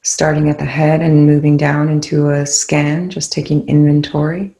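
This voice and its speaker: Soothing meditation leader, really flat gravelly delivery, gentle phrases, roomy echo. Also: medium pitch, moderate pace. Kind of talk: guided meditation, soft instruction. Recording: roomy, echoing indoor sound.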